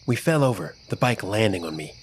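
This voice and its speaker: A man narrating a scripted first-person children's story in an expressive, dramatic storytelling manner. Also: fairly low pitch, fairly quick, a little hiss or hum.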